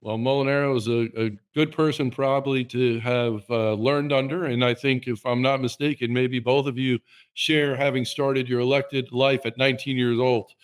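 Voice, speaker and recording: A man's voice: mid-range voice, fairly even intonation, moderate pace, smooth. Upbeat male interview host. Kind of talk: hosting an interview.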